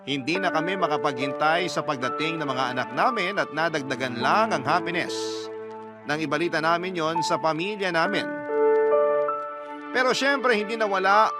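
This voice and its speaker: A man's voice: fairly high pitch, normal speed. A man reading a listener's letter as dramatic scripted radio narration. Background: prominent background music.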